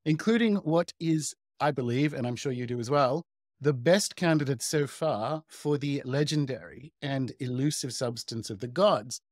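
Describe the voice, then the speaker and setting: A man's voice: mid-range voice, no echo, studio quality. A man in his thirties hosting a podcast interview over a video call from home, upbeat and chatty. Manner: upbeat, chatty, laughs easily. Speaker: podcast host. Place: home room.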